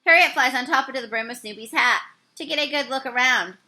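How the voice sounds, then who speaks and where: A woman reading a children's story aloud, with expressive and carefully phrased narration. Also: sits high, quick pace. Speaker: storybook reader.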